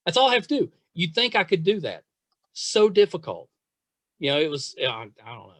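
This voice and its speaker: A man's voice: sits high, sing-song, brisk, fairly bright tone, no echo. A man hosting a casual interview podcast, chatting in an effusive, rambling, self-deprecating way. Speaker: podcast host.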